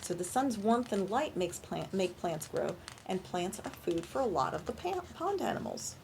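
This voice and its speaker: A woman reading a picture book aloud to young children in an animated storytime style, pausing to ask questions and explain things simply. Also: medium pitch, vocal fry, a little hiss or hum. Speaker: children's librarian and storytime reader.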